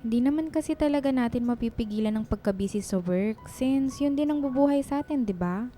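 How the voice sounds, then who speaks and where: Supportive, straight-talking friend, female actor, high mellow tone, radio drama, slight background noise. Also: brisk, lightly acted. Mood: reasoning. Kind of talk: radio drama acting in Tagalog.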